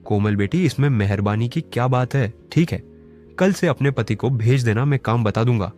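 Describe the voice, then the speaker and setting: A man's voice: mid-range voice, animated, normal speed, darkish tone, soft background music, close to an everyday voice. A man voicing a helpful but stern village headman in a cartoon moral story. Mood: obliging.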